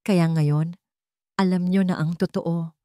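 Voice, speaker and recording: A woman voicing a cold, steadfast young former housemaid in a Tagalog story, speaking in a firm, measured way. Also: on the low side, fairly even intonation, dark tone, dry recording, studio quality. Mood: cold anger.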